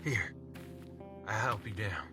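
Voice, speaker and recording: Man playing slow, defiant big cowboy. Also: fairly low pitch, over music. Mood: concerned. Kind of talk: radio Western acting.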